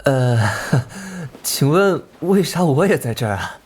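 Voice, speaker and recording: An actor playing a timid, clueless young sidekick in an animated crime drama, hesitant and bewildered. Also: medium pitch, slow, slightly rough. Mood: sheepish, confused.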